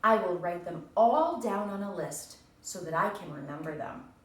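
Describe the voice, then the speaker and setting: Storybook Toad, played by a woman: earnest, orderly, deliberate, animated pitch, strong room echo. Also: lightly acted. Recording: echoey room, distant mic, faint noise.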